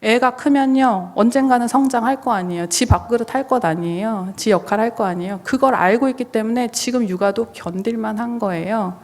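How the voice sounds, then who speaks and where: A woman's voice: on the high side, fairly quick, few pauses, a little mellow. A woman pastor preaching a sermon, explaining and addressing listeners directly with questions, her voice somewhat strained from recovering. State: voice strained, limited to low register while recovering. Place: church sanctuary. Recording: long reverberant hall sound.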